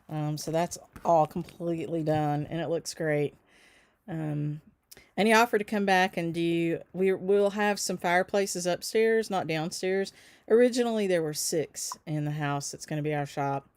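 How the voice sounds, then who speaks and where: A woman's voice: low-pitched, a little mellow, clear, resonant tone, dry recording. A woman who runs a stitching shop hosts a casual livestream, chatting with viewers, greeting them by name, with frequent ums and small laughs. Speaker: shop owner hosting a livestream.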